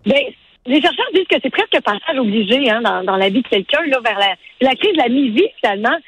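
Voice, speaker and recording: A woman in her forties with a Quebec French accent, talking as a radio interview guest in a halting, conversational way. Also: on the high side, lively intonation, a little hiss or hum, rough recording. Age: 40s. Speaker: interview guest, event organizer and matchmaker.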